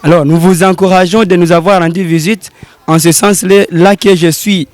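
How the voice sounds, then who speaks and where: Congolese man, halting French teacher. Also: sits high, steady tone, no echo. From Congo (DRC), Bukavu. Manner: halting, repeats words while searching for them. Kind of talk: on-camera interview.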